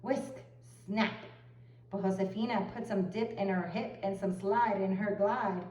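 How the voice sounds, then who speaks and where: A woman storyteller reading a children's picture book aloud in an expressive narrating style. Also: mid-range voice, measured pace, a little hiss or hum. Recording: roomy echo, far from the mic.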